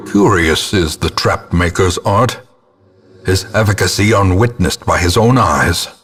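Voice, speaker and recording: An actor voicing a grim, ominous old ghostly ancestor as a gothic horror game narrator, solemn and theatrical, with a gravelly, husky voice. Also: male voice, sits low, expressive, a bit bright, heavily acted. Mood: grim foreboding. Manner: solemn, portentous, deliberate delivery.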